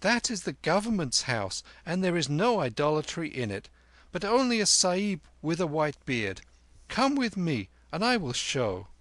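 A man's voice: a little high, very animated, moderate pace, fairly bright tone, no room sound, pitched above the performer's own voice. A man voicing a bold, cheeky street boy with brash, teasing, old-fashioned speech for a storybook. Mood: confident. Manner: brash, teasing, archaic phrasing.

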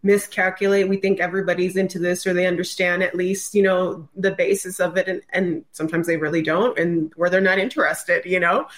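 Informal, expressive woman guest. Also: fairly low pitch, brisk, hardly any pauses. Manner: informal, filler-heavy "like" speech, expressive. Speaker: podcast guest, author. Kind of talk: casual chat.

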